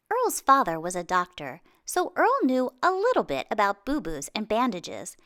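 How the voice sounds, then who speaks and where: A woman reading a children's picture book aloud in an expressive way, with dramatic pauses and exclamations. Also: mid-range voice. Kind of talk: children's storybook narration.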